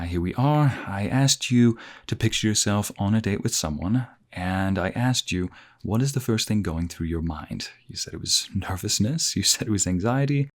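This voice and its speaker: A man voicing a calm, polite therapist in a listener-directed roleplay, speaking in a measured, reassuring way. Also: low voice. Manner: soft-spoken, measured, reassuring. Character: calm polite male therapist, gently honest. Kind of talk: ASMR roleplay narration addressed to the listener.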